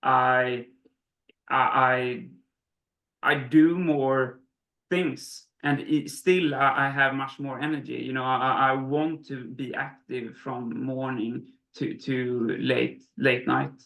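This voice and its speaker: A man's voice: medium pitch, steady tone, slow pace, vocal fry, fairly bright tone. A man from Sweden talking as an interview guest, hesitant, with many restarts and fillers. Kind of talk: casual interview. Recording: roomy echo.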